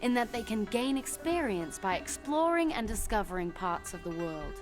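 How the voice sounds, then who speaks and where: A measured, informative female advisor from a strategy game, voiced by a woman. Her voice sits at a middle pitch, with game music clearly playing beneath it. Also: very animated, close to an everyday voice. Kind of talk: scripted game voice-over.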